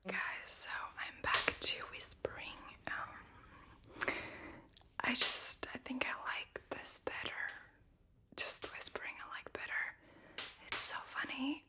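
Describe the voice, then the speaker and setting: A woman from Latvia with a Latvian accent, whispering softly and rambling through an ASMR question-and-answer video. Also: slow, long pauses. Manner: soft unvoiced whisper, rambling. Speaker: ASMR creator.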